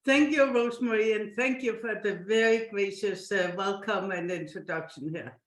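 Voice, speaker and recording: A middle-aged woman from Denmark with a Danish accent, answering interview questions conversationally in halting, thoughtful phrases. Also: mid-range voice, roomy sound. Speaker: podcast guest, company chief executive.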